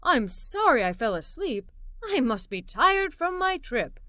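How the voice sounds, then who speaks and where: A woman voicing a sleepy, cheerful, music-loving uncle in a children's storybook, with a warm, enthusiastic delivery. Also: high-pitched, very animated, a little slow, no echo, exaggerated voice. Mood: sleepy, friendly.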